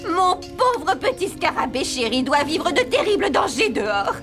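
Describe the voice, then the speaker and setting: A woman's voice: extremely high pitch, big pitch swings, unhurried, exaggerated voice. A woman voicing a doting, haughty mother scarab beetle in a cartoon, melodramatic and gushing. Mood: indignant. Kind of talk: cartoon character acting. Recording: music behind the voice.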